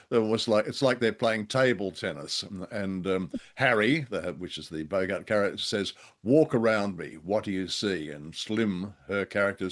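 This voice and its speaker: A man with a fairly low voice co-hosts a relaxed discussion show. He offers unscripted commentary in a thoughtful, halting manner, feeling his way through each point. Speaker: co-host discussing old radio dramas.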